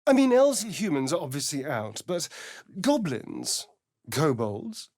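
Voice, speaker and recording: A man's voice: wildly swooping pitch, a little slow, a little mellow, no room sound, clean studio sound, heavily acted. A man playing a sly, sardonic vampire spawn rogue in a video game, with an arch, drawling, theatrical delivery. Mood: sardonic, playful. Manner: arch, theatrical, drawling, with big swoops in pitch. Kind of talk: video game voice lines and narration.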